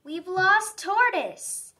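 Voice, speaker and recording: A woman's voice: very high voice, lively intonation, very slow, distant mic, faint music behind, pitched above the performer's own voice, heavily acted. A woman voicing eager, needy little forest animals who call out pleadingly in a children's story. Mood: pleading, urgent. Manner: bright, pleading calls.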